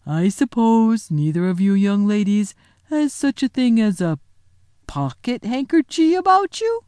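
A woman voicing a huge, good-natured male giant who talks slowly in a polite rustic dialect. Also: a little high, sing-song, mellow, no echo, studio quality. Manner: slow, rustic dialect, deferential.

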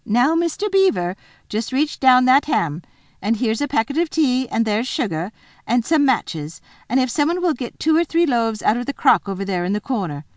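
A woman's voice: a little high, very animated, no echo, voice pushed up. Woman doing an unflustered, motherly lady beaver. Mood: busy. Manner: homely, brisk, coolly practical. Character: unflustered, motherly female beaver, bossy housewife.

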